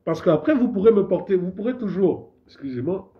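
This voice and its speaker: A man's voice: high-pitched, lively intonation, a little fast, muffled recording. A man from Gabon, a civil society activist with a cold, making an impassioned statement, indignant and emphatic, stumbling and repeating himself. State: has a cold.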